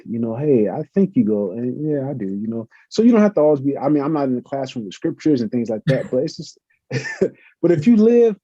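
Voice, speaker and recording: Middle-aged man, professor guest; fast, husky, very dark tone, muffled lo-fi sound. Earnest, reflective. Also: medium pitch, no room sound. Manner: earnest, reflective, uses many fillers. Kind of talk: conversational interview answers. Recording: muffled lo-fi audio.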